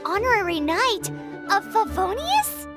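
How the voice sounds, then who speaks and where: Tiny fairy companion, game character, very high voice, sing-song, bubbly, blunt, music behind. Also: female voice, big pitch swings, exaggerated voice. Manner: bubbly, quick to judge. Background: game score.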